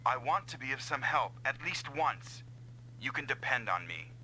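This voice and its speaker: An actor playing an earnest, self-doubting comrade pilot who is eager to help, in a dubbed anime-style video game. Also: male voice, mid-range voice, expressive, normal speed, bright, forceful tone, slight background noise, poor audio. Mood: resolute.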